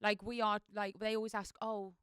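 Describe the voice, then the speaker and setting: A woman's voice: mid-range voice, little pitch movement, normal speed, fairly bright tone, smooth, no echo, clean studio sound. A woman, a wedding singer chatting on a podcast, talking chaotically with lots of fillers. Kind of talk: casual chat.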